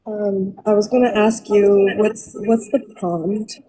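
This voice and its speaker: A woman from Iran, calling into a live debate and speaking hesitantly, with many pauses and fillers. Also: mid-range voice, fairly even intonation, mellow, poor audio. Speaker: caller. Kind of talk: call-in debate.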